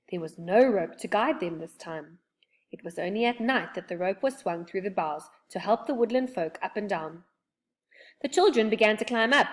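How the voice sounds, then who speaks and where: A woman reading a children's book aloud in a gentle, expressive storytelling manner. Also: mid-range voice.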